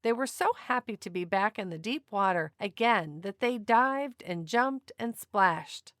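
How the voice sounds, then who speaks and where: Expressive woman reading a children's story, very lively pitch. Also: unhurried, dry recording, studio quality. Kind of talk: children's picture book read-aloud narration.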